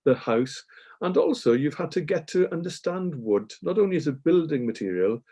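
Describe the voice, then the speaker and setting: Male interviewer, mellow tone, measured and unhurried in his questions. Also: a little high, lively intonation. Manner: measured, long winding questions with ums.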